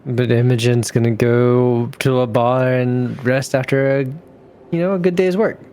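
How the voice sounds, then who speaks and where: A man's voice: medium pitch, fairly even intonation, unhurried, a little mellow, smooth. A man chatting casually as a player in a tabletop game, describing what his character does.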